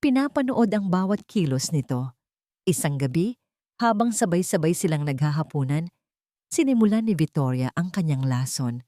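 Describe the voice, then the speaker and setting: A woman storyteller with a Filipino accent narrates a scripted drama. Her voice sits on the low side for a woman. The sound is dry and close, with very little room. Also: big pitch swings, normal speed, mellow, no echo.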